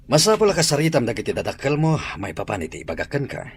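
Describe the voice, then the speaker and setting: A man's voice: mid-range voice, huge pitch swings, fast, a little hiss or hum. A man playing a solemn, persuasive school principal in a radio drama, grave and authoritative.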